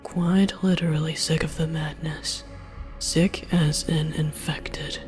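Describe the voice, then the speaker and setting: A narrator reading a first-person story aloud in a measured, hushed, breathy delivery. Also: medium pitch, little pitch movement. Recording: music under the voice.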